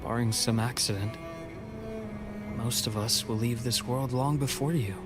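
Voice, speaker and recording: Measured, reassuring male comrade played by an actor over music. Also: medium pitch, fairly even intonation, natural voice. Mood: gently reassuring. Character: calm, wise male comrade, reassuring old friend of the hero. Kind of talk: video game story dialogue acting. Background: game background music.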